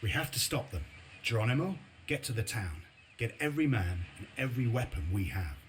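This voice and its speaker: An actor playing a stern, gruff male resistance commander in a video game cutscene, curt and commanding. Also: mid-range voice, big pitch swings, a little fast, a little hiss or hum, close to an everyday voice. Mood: determined.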